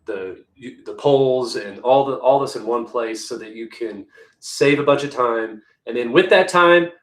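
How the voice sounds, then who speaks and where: Guy doing a webinar over a call, kinda hoarse, talks casual, roomy echo. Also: medium pitch, measured pace. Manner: chatty, conversational, many restarts. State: hoarse, losing his voice. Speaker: webinar presenter, executive assistant.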